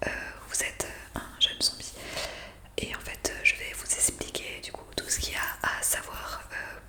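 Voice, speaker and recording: A woman whispering an improvised ASMR roleplay as a friendly zombie guide, breathy and gentle, explaining hesitantly close to the microphone. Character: friendly young woman zombie welcoming a newcomer. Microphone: close studio condenser microphone.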